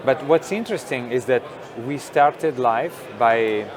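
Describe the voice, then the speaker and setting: A middle-aged man, a company founder explaining technology in an interview, thoughtful with many pauses and fillers. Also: mid-range voice, crowd noise. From Italy. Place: trade show booth studio.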